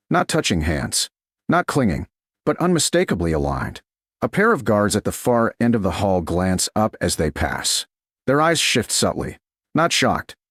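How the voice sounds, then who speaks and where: A man with a fairly deep voice narrates a romantic story as an audiobook. His pitch moves widely across short, measured, dramatic phrases. The sound is close and dry, with very little room in it. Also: no echo.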